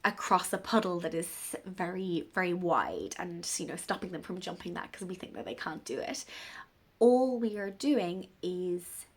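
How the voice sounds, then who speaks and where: Woman, thirties, parenting vlogger, stuffy with a cold, gravelly fry, rambling chat. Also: on the low side, expressive, normal speed. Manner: rambling, gentle, warm-hearted musing. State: congested from a cold. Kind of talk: casual unscripted talk to camera.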